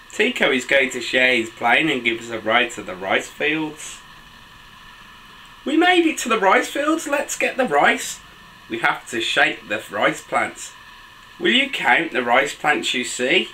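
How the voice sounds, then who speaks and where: A man in his thirties reading a picture book aloud to children in an expressive, sing-song, enthusiastic way. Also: medium pitch, slight background noise, low-quality recording. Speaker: dad reading children's books for a video channel. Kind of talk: animated storybook read-aloud for children. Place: small bedroom with a bed and soft toys.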